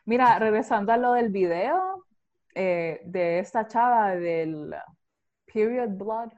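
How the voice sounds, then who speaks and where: A young Honduran woman talks in an emphatic, opinionated way, peppering her speech with fillers. Her voice sits at a middle pitch, and the recording is a little dull and lo-fi. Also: slow. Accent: Honduran Spanish. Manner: emphatic, opinionated, animated, voseo with lots of "o sea". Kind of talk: casual conversation, podcast-like.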